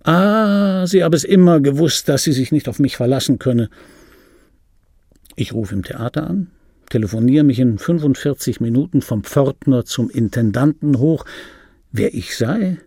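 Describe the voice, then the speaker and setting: An actor playing a bitter middle-aged dubbing actor, telling his life in a wry, gravelly, husky voice in for a radio play. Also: mid-range voice, animated, moderate pace, mellow, dry recording, clean studio sound. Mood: indignant, wry. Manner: wry, conversational, self-interrupting. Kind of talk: radio play monologue, intimate storytelling.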